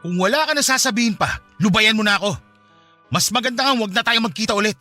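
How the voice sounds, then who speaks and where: Callous married man in a radio drama, defensive n scolding, high voice, talks fast. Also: bright, forceful tone, no room sound, faint music behind. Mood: angry, threatening. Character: callous married man coldly rejecting his former mistress. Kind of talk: Tagalog radio drama acting.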